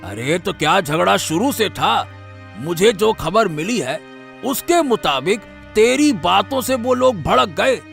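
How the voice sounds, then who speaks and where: A man's voice: high-pitched, sing-song, a little slow, vocal fry, a bit bright, faint music behind, full character voice. A man voicing an irritable, scolding senior villager in a cartoon story.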